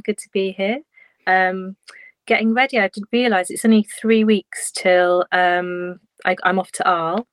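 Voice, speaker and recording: A woman's voice: mid-range voice, steady tone, measured pace, smooth, dry recording. A woman chatting casually on a video-call podcast, laughing easily. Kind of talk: casual chat.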